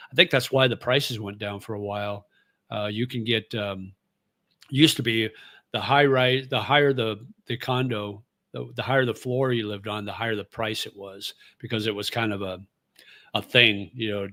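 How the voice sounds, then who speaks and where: A man's voice: on the low side, fairly bright tone, dry recording. A middle-aged man and former radio host, chatting casually on his livestream and reading viewer comments in a rambling, easygoing way with plenty of fillers.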